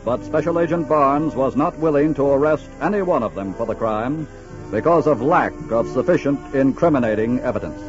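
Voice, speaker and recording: A man narrating a crime drama in a formal, solemn, measured 1940s radio style. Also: medium pitch, lively intonation. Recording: muffled 1940s radio broadcast. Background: dramatic music.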